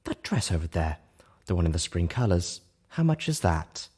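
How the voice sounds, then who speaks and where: A man's voice: deep voice, big pitch swings, moderate pace, a little mellow, breathy edge, heavily acted. A man voicing an elegant female pony with a gracious, charming and self-assured manner in a story reading. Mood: coaxing. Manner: demure, gracious, charming, assertive. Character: Velvet Remedy, elegant coaxing female pony. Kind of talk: character dialogue in a fan fiction reading.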